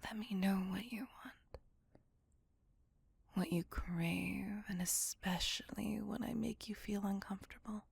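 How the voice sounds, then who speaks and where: A woman voicing a gentle, remorseful immortal vampire in an ASMR roleplay, speaking tenderly and intimately in a breathy whisper. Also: mid-range voice, fairly even intonation, no room sound, exaggerated voice. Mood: tender, adoring. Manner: slow, tender, earnest confiding.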